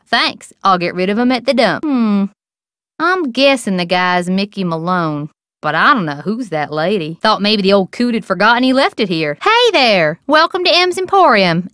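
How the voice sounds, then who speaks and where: A woman's voice: a little high, fairly bright tone, clear, resonant tone, no room sound, clean studio sound. A woman playing a folksy, wily small-town shopkeeper with a rural American accent in a video game. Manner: folksy, drawling, colloquial, sing-song coaxing. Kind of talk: video game character acting.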